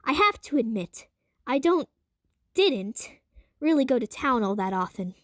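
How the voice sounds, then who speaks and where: A woman's voice: sits high, big pitch swings, unhurried, no room sound, studio quality. A woman voicing a proper, wordy man of science from 1900 in an audio drama. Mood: guarded, earnest. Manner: formal, wordy, hesitant.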